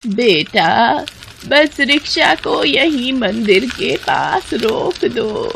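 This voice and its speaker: Frail elderly woman for cartoon moral story, voiced by a woman; gentle, halting, very unhurried delivery. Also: fairly high pitch, very animated, vocal fry, a little hiss or hum, voice pushed up, heavily acted. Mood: grateful, blessing. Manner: slow, halting, gentle pleading. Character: frail, pleading old woman stranded without money.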